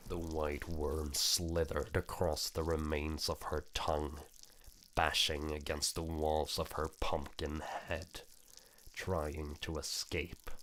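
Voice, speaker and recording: A man reading as a grim, ominous horror visual-novel narrator, deliberate and brooding. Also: rumbling low, fairly bright tone, slight background noise, full character voice.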